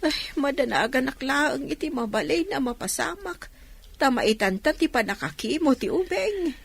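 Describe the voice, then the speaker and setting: A woman's voice: sits high, expressive, moderate pace, vocal fry. An actress playing a worried poor mother, plaintive and beseeching, in an Ilocano radio drama. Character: pleading, worried poor mother of a sick child.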